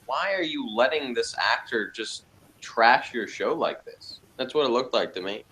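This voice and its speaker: A man's voice: medium pitch, animated, normal speed, pushed and bright, a little hiss or hum, poor audio. A man giving blunt, dismissive opinions in a casual discussion.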